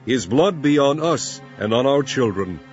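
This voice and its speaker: A man's voice: mid-range voice, animated, a little slow, darkish tone, pitched above the performer's own voice, natural voice. A man voicing a mocking, demanding biblical crowd in a declamatory, emphatic scripture reading. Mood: demanding, fierce. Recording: muffled lo-fi with music behind.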